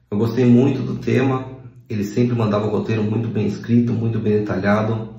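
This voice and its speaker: A man's voice: on the low side, a little mellow. A Brazilian man, a video editor, giving a casual testimonial. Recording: echoey room, dull lo-fi sound.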